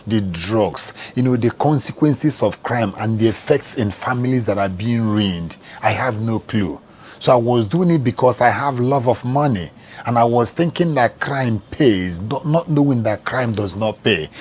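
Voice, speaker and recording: A man's voice: mid-range voice, a little mellow. A middle-aged Nigerian man with a Nigerian accent, an evangelist telling his life story in earnest, plain words during an interview. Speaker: evangelist and charity founder, interview guest.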